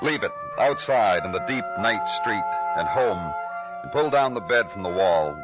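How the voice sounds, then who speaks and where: An actor playing a world-weary big-city police detective in an old radio crime drama, terse and brooding. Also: male voice, on the low side, lively intonation, normal speed, natural voice. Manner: terse, brooding, poetic narration and blunt questioning. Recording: dull old radio recording with music.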